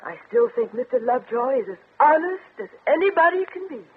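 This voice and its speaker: An actress playing a naive, lovestruck, trusting spinster in 1950s radio comedy, fluttery, hesitant and earnest. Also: female voice, high-pitched, big pitch swings, brisk, breathy edge, heavily acted. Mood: stubborn, wounded. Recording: tinny, hissy early-1950s radio broadcast.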